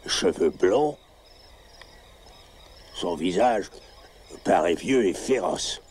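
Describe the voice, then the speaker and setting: Un acteur qui double un habitant docile, lisant un article de journal à voix haute d'un ton simple. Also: male voice, sits low, expressive, extremely slow, darkish tone, slightly rough, exaggerated voice. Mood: reading. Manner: plain, compliant reading aloud. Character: obliging townsman reading the newspaper aloud. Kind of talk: French film dub.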